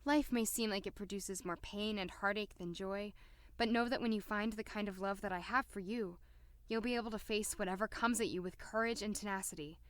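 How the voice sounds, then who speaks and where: A woman's voice: medium pitch, brisk, close to an everyday voice. A woman playing a determined, sly female doctor in an audio drama, polite but pointed. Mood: sombre reading.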